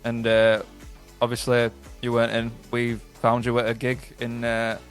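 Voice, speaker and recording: A man's voice: medium pitch, completely flat delivery, moderate pace, faint music behind. A man, a band member telling the story of the group in an easygoing way. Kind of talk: casual storytelling in interview. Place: radio studio.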